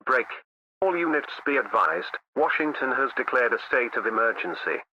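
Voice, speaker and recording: A man's voice: mid-range voice, sing-song, normal speed. A man playing a military command dispatcher giving firm orders over a radio in a drama. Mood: firm, urgent. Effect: military radio transmission. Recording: dull, noisy radio transmission.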